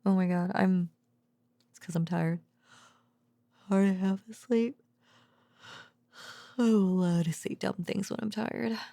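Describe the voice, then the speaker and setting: A woman playing an affectionate, drowsy girlfriend in an intimate bedtime audio roleplay, murmuring softly and hesitantly with drifts into whispering. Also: mid-range voice, steady tone, measured pace, pauses often, no room sound. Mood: bashful, embarrassed. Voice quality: soft, breathy murmur dipping into whispers and creak. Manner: hesitant, rambling, giggly, tender. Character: affectionate, sleepy, bashful girlfriend, a researcher home from work. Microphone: close microphone, dry.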